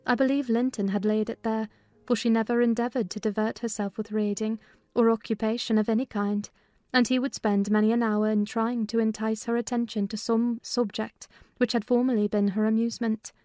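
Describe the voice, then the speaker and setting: Composed servant storyteller, played by a woman, slightly high, level intonation, measured audiobook drama narration. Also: little pitch movement, warm tone, no echo, clean studio sound, close to an everyday voice. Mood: gentle, reflective. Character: female housekeeper recounting events, composed and observant servant. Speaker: narrator in a dramatized novel reading. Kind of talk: scripted literary narration.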